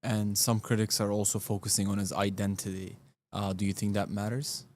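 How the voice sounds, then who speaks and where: A man's voice: fairly low pitch, level tone, a little fast, slight background noise. A man interviewing a guest in a small studio, asking short prompting questions. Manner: brief, prompting questions and soft backchannels.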